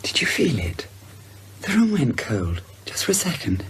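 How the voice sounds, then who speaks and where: A woman playing a brisk, sceptical, sharp-tongued character in an audio horror drama. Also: very deep voice, very animated, noisy background. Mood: uneasy.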